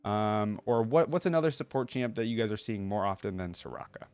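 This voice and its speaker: A man's voice: on the low side, lively intonation, a little fast, a little mellow, smooth, dry recording. A man hosting a reaction video, chatting casually and thinking aloud as he gives his commentary.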